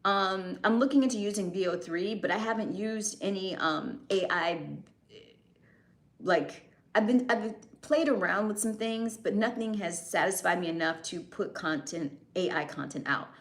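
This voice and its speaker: A woman's voice: medium pitch, moderate pace. American lady on a live stream, chatty, laughs a lot, mid-range voice. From United States. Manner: chatty, answers chat comments, laughs often. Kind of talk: casual live-stream chat.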